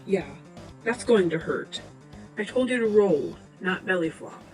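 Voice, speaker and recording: A woman's voice: medium pitch, animated, unhurried, a little mellow, low-quality recording. A woman playing a bossy male supercomputer in a boy's head, giving dry instructions in an amateur comic dub. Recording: amateur old-device recording, music behind.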